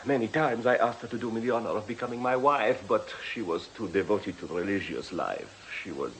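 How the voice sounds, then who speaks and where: A man's voice: on the low side, fairly quick, a little hiss or hum, lightly acted, poor audio. An actor playing an ardent, courtly Frenchman with a French accent and a formal, emphatic way of speaking, in a radio drama. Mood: wistful. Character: ardent, courtly Frenchman of modest means, a bereaved admirer.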